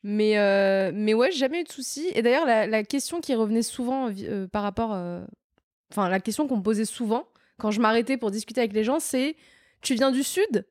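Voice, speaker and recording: A woman's voice: fairly high pitch, fairly quick, a little mellow, dry recording, studio quality. A young woman from Tunisia who grew up near Paris, chatting in an easygoing way as a podcast guest. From Tunisia, raised near Paris. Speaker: guest, co-founder of a street art venue. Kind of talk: casual chat.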